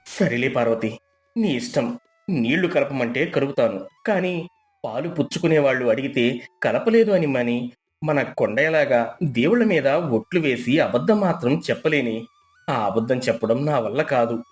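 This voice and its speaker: A man's voice: medium pitch, animated, moderate pace, soft background music, natural voice. A man playing a meek, honest, poor village milkman who pleads and protests in a moral story. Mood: resigned.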